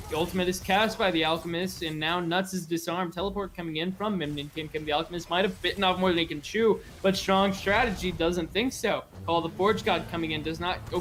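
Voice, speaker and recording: Man, high-pitched voice, live esports play-by-play, faint background noise. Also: fairly bright tone. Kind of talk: live game commentary.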